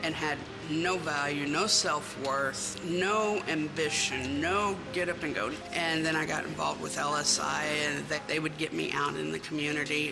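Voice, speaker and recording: A person speaking plainly and reflectively in a testimonial video. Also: female voice, deep voice, gravelly, low-quality recording. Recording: video clip with music underneath.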